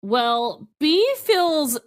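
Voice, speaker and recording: Animated woman with a high voice. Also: extremely slow. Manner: animated, jokey reactions. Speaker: podcast co-host. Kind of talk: casual comedic chat.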